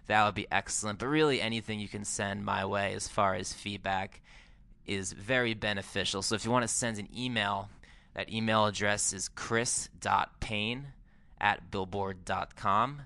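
American male podcast host, low creaky voice, casual interviewer delivery, close dry sound. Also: sharp tone, dry recording. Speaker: podcast host, music journalist.

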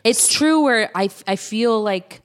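A woman's voice: a little high, lively intonation, measured pace, clean tone. A woman guest on a podcast, chatting in an easygoing, frank, affirming way. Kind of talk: casual chat.

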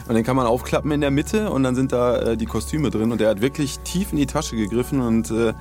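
A man's voice: medium pitch, brisk, darkish tone, soft background music. A man co-hosting a podcast in a studio, chatting casually in a playful, wry way. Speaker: podcast co-host. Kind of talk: casual chat. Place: recording studio.

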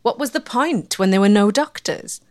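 A woman giving measured, scripted documentary narration. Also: medium pitch, animated, darkish tone. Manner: clear, measured storytelling.

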